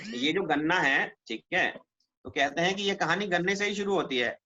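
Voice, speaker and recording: A man's voice: fairly high pitch, normal speed, bright, forceful tone. A man teaching a class in a conversational question-and-answer style, often checking that students follow. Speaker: teacher at a defence exam coaching class. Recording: muffled classroom recording with background noise.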